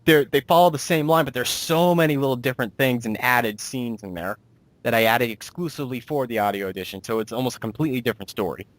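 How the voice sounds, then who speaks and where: A man's voice: medium pitch, fast talker, no room sound. A man hosting a casual interview as a director, explaining things in a rambling way and restating himself. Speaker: interviewer and director of an amateur audio drama.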